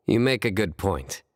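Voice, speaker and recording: An actor playing a stern, formal young official in a video game, speaking in a measured, deadpan, dryly earnest way. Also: male voice, on the low side, a little hiss or hum. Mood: calm, agreeable.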